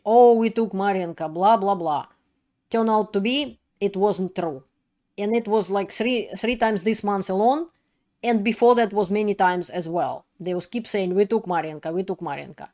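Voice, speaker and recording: A woman's voice: medium pitch, darkish tone. Brief: Russian-accented woman, non-native speaker, channel host, unscripted commentary, restarts and loose grammar. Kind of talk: casual commentary to camera.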